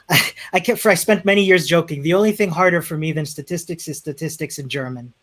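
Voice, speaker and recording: Chilean-accented man, slightly high voice, modest guest developer, halting casual delivery. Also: fairly bright tone. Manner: self-deprecating, hesitant with many restarts. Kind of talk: casual interview over stream.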